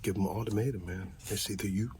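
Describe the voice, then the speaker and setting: A man doing a casual ASMR roleplay as an easygoing, supportive friend, talking softly in a laid-back buddy way. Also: fairly low pitch, steady tone, fast, slight background noise. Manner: soft-spoken, half-whispered, laid-back buddy talk.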